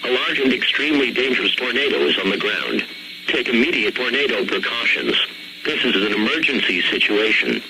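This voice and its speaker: Male weather alert announcer, mid-pitched, impersonal and measured, buried in loud radio noise. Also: lively intonation. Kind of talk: formal emergency alert bulletin. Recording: very noisy weather radio broadcast.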